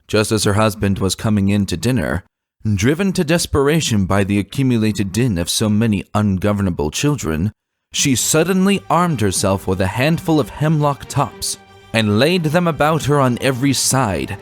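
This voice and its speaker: Low-voiced man narrating a classic story. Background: background music.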